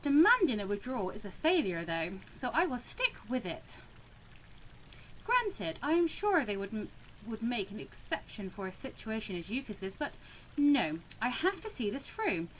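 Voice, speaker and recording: Woman acting as sulky young schoolgirl mediator, visual novel game, high voice, emphatic, very animated, reluctant. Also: moderate pace, slight background noise, low-quality recording. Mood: reluctant, resolute. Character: young female student mediator, indignant, reluctant, principled. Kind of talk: visual novel read-aloud.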